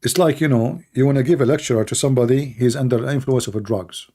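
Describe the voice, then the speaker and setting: A man with an Arabic accent speaks in a gravelly, dark voice, answering questions on a live stream. He talks bluntly, circling back with "you know" and repeated words as he hunts for English phrasing. His tone stays mellow even when his words turn harsh. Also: mid-range voice. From Middle East. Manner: halting, repetitive phrasing with frequent fillers; blunt and emphatic. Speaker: debate show host.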